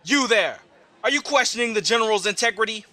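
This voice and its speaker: A man playing a brisk, suspicious military captain in an audio drama, reporting in a clipped, formal way. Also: sits high, lively intonation, bright, forceful tone, no echo, heavily acted. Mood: stern, accusing. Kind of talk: scripted audio drama acting.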